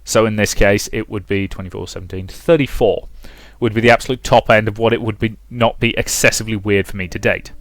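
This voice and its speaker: A man's voice: on the low side, fairly even intonation, a little fast, hardly any pauses, dry recording. Wry young British man, casual commentary. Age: 20s. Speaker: let's play host. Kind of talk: casual commentary while reading a visual novel aloud.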